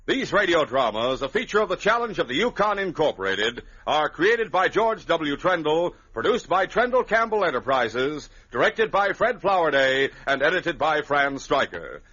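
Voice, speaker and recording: A man announcing an old-time radio serial and its sponsor pitches in a dramatic, punchy, hearty way. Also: sing-song, normal speed, few pauses, bright, forceful tone, breathy edge. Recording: dull lo-fi vintage radio recording.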